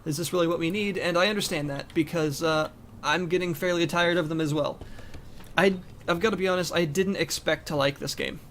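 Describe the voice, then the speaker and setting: Slightly raspy man reviewing a game. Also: fairly high pitch, fairly quick, a bit bright, a little hiss or hum. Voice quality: rambling, with restarts and fillers. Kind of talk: casual gameplay commentary.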